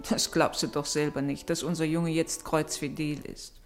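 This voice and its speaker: A woman's voice: low-pitched, level tone, normal speed, natural voice. An actress playing an anxious, sorrowful mother in a 1950s radio play. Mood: worried. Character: Martin's worried, homesick-hearted mother. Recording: old radio recording with music behind.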